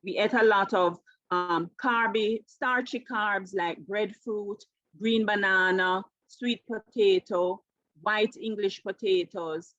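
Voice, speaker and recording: A woman's voice: mid-range voice, slow, a bit bright, no echo, highs cut off. A woman in her fifties with a Jamaican accent, telling her personal story conversationally as an interview guest. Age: 50s. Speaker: interview guest, keto coach.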